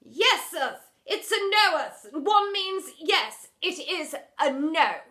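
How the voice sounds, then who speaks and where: A woman's voice: extremely high pitch, animated, slow pace, pushed and bright, voice pushed up, heavily acted. A woman voicing an imperious, posh headmistress in a children's storybook, pompous, commanding and exasperated. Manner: pompous, commanding, refers to herself as "one".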